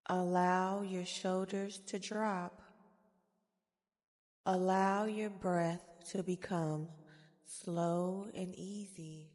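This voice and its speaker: A woman guiding a meditation in a soft-spoken ASMR style, with soothing, gentle, deliberate phrasing. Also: on the low side, steady tone. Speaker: guided meditation host. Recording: long reverberant echo.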